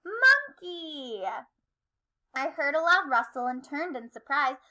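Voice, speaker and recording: A woman reading a children's story aloud in expressive, rhythmic, sing-song rhyme. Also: high-pitched, normal speed, low-quality recording. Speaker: storybook reader.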